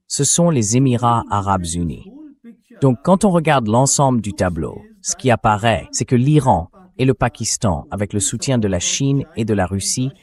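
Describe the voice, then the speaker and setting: Low-voiced man giving political analysis. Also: a little mellow. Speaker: interview guest, analyst. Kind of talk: translated political commentary voice-over read by synthetic voice.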